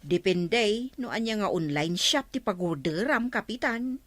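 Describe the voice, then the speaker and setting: A woman's voice: mid-range voice, expressive, measured pace, dry recording. A woman with an Ilocano accent playing an inquisitive, direct village woman in a radio comedy drama. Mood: curious, teasing.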